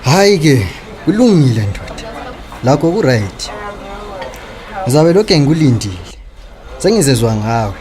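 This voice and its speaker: An actor playing a streetwise, sarcastic creditor in a radio drama, confrontational and taunting, with a Zimbabwean accent. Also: male voice, mid-range voice, very animated, unhurried, long pauses, crowd noise. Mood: indignant, threatening. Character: streetwise, sarcastic male creditor demanding his money.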